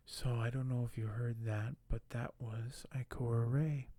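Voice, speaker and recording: A soft-spoken man hosting an ASMR unboxing, talking in a hushed, halting way that often nears a whisper. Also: medium pitch, little pitch movement, slight background noise. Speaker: ASMR video host.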